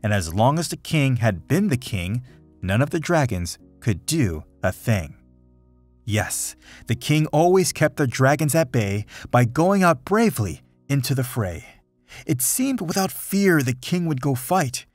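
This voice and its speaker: A man reading a rhyming children's picture book aloud in an expressive, dramatic storytelling manner. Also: medium pitch, unhurried, soft background music. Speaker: storybook reader.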